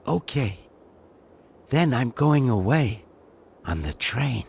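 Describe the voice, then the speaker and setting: An actor playing a frail, simple-minded old inventor who talks in short childlike sentences, in a video game. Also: male voice, mid-range voice, big pitch swings, takes it slowly, darkish tone, exaggerated voice. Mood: quietly determined. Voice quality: husky, breathy.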